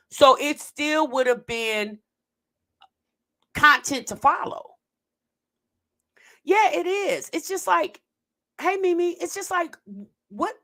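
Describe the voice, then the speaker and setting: A woman's voice: high-pitched, fairly bright tone. A woman hosting a casual reaction video, talking straight to her viewers and stretching words out for emphasis while she reads the live chat. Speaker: host of a reaction commentary channel.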